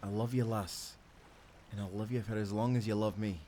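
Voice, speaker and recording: An actor playing a devoted, playful sailor husband with a Scottish accent, speaking tenderly in old-fashioned seafaring language for a romantic audio roleplay. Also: male voice, lowish voice, fairly even intonation, normal speed, a little hiss or hum, natural voice. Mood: devoted, solemn. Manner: tender, teasing, given to soft chuckles.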